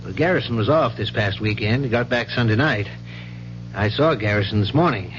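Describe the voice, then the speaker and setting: An actor playing a proper, measured university professor in a 1970s radio mystery, speaking precisely and with care. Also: male voice, on the low side, expressive, a bit bright. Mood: earnest, concerned. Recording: muffled, noisy 1970s radio recording with hum.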